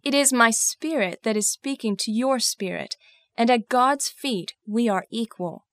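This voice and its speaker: An actress playing an earnest, proud young governess, speaking with careful, emotional delivery in a dramatized classic novel. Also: female voice, on the high side, expressive, unhurried, dry recording, studio quality. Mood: indignant. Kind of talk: first-person dramatized storytelling of classic novel.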